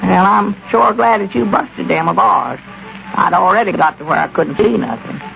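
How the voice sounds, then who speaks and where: An actor playing a country storekeeper with a folksy drawl in a 1930s radio comedy. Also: male voice, high-pitched, normal speed, gravelly, pushed and bright, a little hiss or hum, full character voice. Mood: easygoing. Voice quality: folksy rural drawl with plain country grammar. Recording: lo-fi old radio broadcast.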